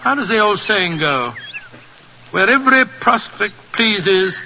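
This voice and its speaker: A man playing a genial older English gentleman telling stories in an avuncular, old-fashioned British manner in a 1940s radio drama. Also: high-pitched, animated, a little slow, highs cut off, a little hiss or hum, heavily acted. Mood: amiable.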